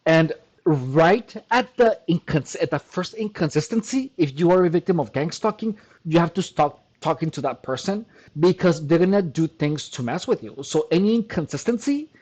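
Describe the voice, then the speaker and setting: A man with a fairly high-pitched voice talks straight to his audience in a casual monologue. He is emphatic and keeps circling back, often breaking in with "look" and starting his sentences over. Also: lively intonation, normal speed, darkish tone. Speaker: channel host. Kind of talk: casual talking-to-camera monologue.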